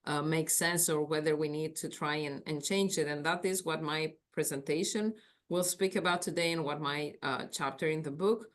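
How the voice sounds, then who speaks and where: Low-voiced Greek-accented woman academic. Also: steady tone, moderate pace. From Greece. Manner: thoughtful, searching for words with fillers. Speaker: academic panelist. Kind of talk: remote conference talk. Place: office. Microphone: laptop on a video call.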